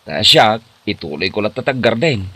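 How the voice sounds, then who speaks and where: A man's voice: on the low side, very animated, moderate pace, bright, forceful tone, breathy edge, full character voice. A man playing a supportive young boyfriend in an Ilocano comedy drama, speaking with an Ilocano accent. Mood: encouraging. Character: supportive young boyfriend helping at a food stall.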